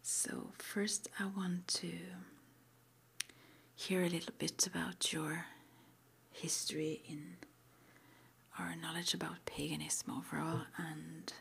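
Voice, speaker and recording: A woman performs an ASMR roleplay entirely in a breathy whisper. Her delivery is very slow and gentle, with a lowish pitch and a crackle of creak in it. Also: little pitch movement. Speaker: ASMR roleplay performer playing a witch school interviewer.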